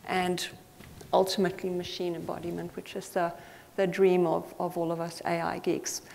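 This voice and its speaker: A woman's voice: fairly low pitch, level tone, moderate pace, gravelly, a little mellow. A woman from Zambia giving an earnest, thoughtful conference talk, with frequent fillers. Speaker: conference speaker. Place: conference hall. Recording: reverberant hall with faint noise.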